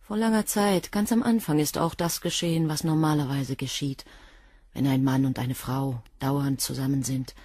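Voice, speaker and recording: Guarded, husky, coolly defiant assistant. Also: female voice, very deep voice, steady tone, moderate pace, warm tone, no echo, clean studio sound. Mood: guarded, devoted. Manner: precise, cool, clipped answers. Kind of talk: German crime radio play dialogue.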